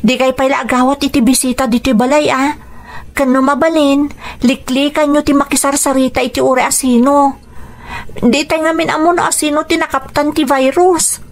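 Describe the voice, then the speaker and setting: A woman's voice: sits high, steady tone, normal speed. A woman playing a caring, cautious grown daughter in a radio drama, with a Filipino accent and an earnest, explanatory manner. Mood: reassuring, cautioning.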